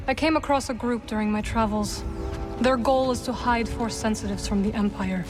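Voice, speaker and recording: An actress playing a resolute, blunt young woman wanderer in a video game, speaking tersely and deadpan. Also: fairly high pitch, normal speed, lightly acted. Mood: earnest. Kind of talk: cinematic video game acting. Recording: music clearly behind.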